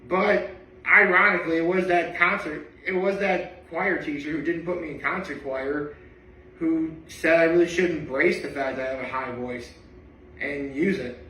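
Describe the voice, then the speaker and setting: A man's voice: on the high side, pushed and bright, echoey room, distant mic, a little hiss or hum, rough recording. A young man with a tenor voice talks casually to his camera in a rambling daily vlog full of asides. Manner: chatty and rambling, with many asides. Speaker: daily vlogger. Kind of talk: casual reaction vlog monologue.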